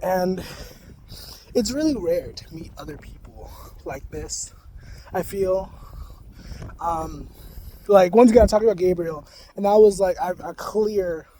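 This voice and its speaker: A young man talking casually to camera in a soft-spoken, rambling way, full of "like". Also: high voice, measured pace, long pauses, a little hiss or hum. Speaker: spiritual vlogger.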